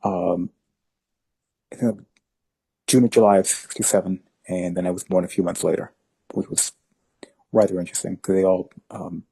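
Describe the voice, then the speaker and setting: A man's voice: low voice, steady tone, moderate pace, gravelly, long pauses, warm tone, husky, no room sound. A man in his fifties from New York with Spanish as his first language, talking in a casual interview and rambling with many fillers. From New York, family from Honduras. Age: 50s. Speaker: guest.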